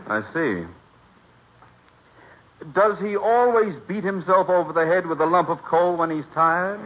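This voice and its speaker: An old, muffled radio comedy recording with some noise carries a dignified, self-assured mayor. He speaks formally and articulately in a fairly high, animated voice. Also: a little slow. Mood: puzzled. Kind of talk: 1940s radio comedy acting. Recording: dull, noisy 1940s radio broadcast.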